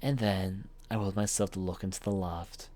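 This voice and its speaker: A man's voice: deep voice, steady tone, normal speed, background noise. A man reading a horror visual novel aloud as a let's player, in dramatic first-person story narration. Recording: music behind the voice. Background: game music.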